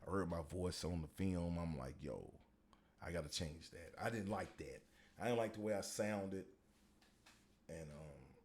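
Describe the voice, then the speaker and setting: A man with a very low, deep voice talks through a solo podcast in a loose, rambling way, full of "um" and "you know". The delivery is casual and conversational, with a faint hiss of background noise under it. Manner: relaxed, rambling, conversational with frequent fillers. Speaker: podcast host and middle school football coach.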